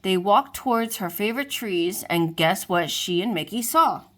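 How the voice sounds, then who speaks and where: A woman reading a children's storybook aloud to kids, lively and expressive. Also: medium pitch, normal speed. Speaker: storybook reader.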